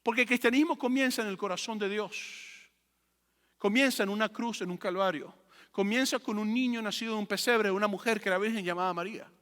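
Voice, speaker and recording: A male pastor with a high-pitched, bright and forceful voice delivers a teaching sermon. He hammers points home by repeating phrases and posing rhetorical questions. His voice rings with long reverb in a large room. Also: a little fast. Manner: emphatic, repeats phrases for effect. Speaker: pastor preaching.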